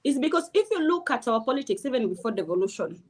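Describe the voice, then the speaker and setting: A woman's voice: fairly high pitch, very animated, quick pace. A woman from Kenya, giving blunt, emphatic political opinions as an interview guest.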